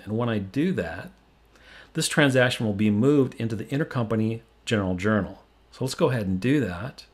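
An American man presenting a software demo, explaining each step plainly in tutorial narration. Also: on the low side, slightly rough.

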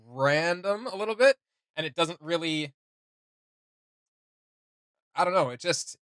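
A man's voice: fairly high pitch, expressive, unhurried, a bit bright, no room sound, studio quality. A young man giving casual reaction commentary, hesitant as he gropes for the right words. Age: young adult. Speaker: reaction video host. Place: small home studio room.

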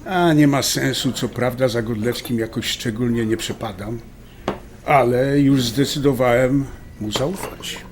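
Actor as stubborn, loving husband: natural mid-pitched delivery, light restaurant clatter behind. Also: male voice, unhurried, a little hiss or hum, lightly acted. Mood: stubborn, decided. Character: stubborn, affectionate husband, Polish emigrant. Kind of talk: naturalistic audio drama acting. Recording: faint clinking restaurant background. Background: cutlery and dishes clinking.

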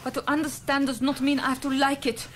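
An actress voicing a wistful blonde showgirl with a French accent, speaking soft, accented broken English in an early 1980s radio play. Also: high-pitched, level tone, fast, a little hiss or hum, lightly acted. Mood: sad, tender. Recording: radio play with loud orchestral music.

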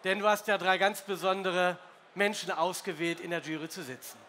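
A male host moderating an awards gala, speaking brightly and announcing winners on stage in a large hall. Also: high-pitched, steady tone, moderate pace, a little hiss or hum. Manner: upbeat, announcing. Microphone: handheld microphone.

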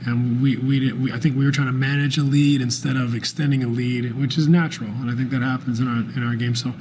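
Measured coach, steady and unhurried. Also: male voice, medium pitch, slight background noise. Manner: measured, thoughtful, many fillers and restarts. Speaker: basketball head coach. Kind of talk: postgame press conference answers. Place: press room with sponsor backdrop, indoors. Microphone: desk microphone at arm's length.

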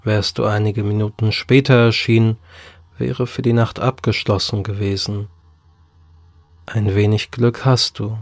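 A man's voice: on the low side, little pitch movement, a little slow, no echo. A man reading a scripted horror story as an audiobook narrator. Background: music.

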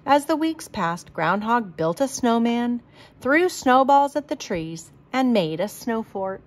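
A woman reading a children's storybook aloud in a deliberate, expressive manner. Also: fairly high pitch. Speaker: storybook reader.

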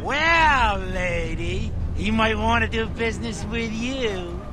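An actor playing a scrappy, nervous lackey of a town boss in a video game. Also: male voice, high voice, slow pace, noisy background. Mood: wary.

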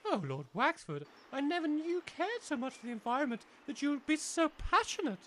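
A woman's voice: high voice, very animated, a little fast. A woman playing an earnest, polite and wordy new-age village schoolmistress with an English accent in a comic audio drama.

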